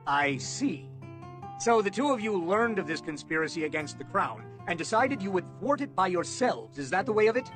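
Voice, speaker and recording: A man voicing a cold, imperious lord and royal minister, measured, formal and contemptuous, in an audiobook. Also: high-pitched, big pitch swings, fairly bright tone, faint music behind, pitched above the performer's own voice. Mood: suspicious.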